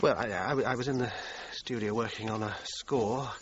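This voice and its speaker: A man's voice: on the low side, fairly even intonation, moderate pace, noisy background, natural voice. Actor as hesitant, defensive British male suspect, dull recording. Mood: hesitant, guarded. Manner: hesitant, evasive, faltering. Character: defensive, uneasy male suspect in a police inquiry. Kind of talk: radio drama acting.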